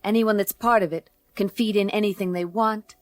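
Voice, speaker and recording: A woman playing a tough, wry space detective in a noir radio play, deadpan and clipped. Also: medium pitch, moderate pace, natural voice. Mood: skeptical. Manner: deadpan, clipped, hard-boiled. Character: tough, wry female space detective narrating her case.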